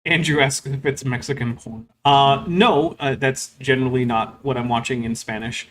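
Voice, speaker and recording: A man's voice: medium pitch, steady tone, moderate pace, slight background noise. A man hosting a stream, reading super chats and commenting on a clip in a casual, wry way, filling his talk with "like" and "um". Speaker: host.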